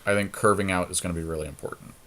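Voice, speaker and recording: A man's voice: deep voice, steady tone, racing, fairly bright tone. A man co-hosting a podcast, chatting casually about card game strategy and thinking his choices through aloud. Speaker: podcast co-host.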